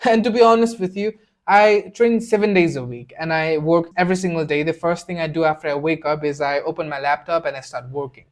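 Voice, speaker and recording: Earnest young man, high voice, Nepali native. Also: fairly even intonation, fairly quick. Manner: earnest, blunt, repeats phrases for emphasis. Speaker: self-improvement video creator. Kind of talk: motivational talk to camera. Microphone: camera mic, speaking to camera.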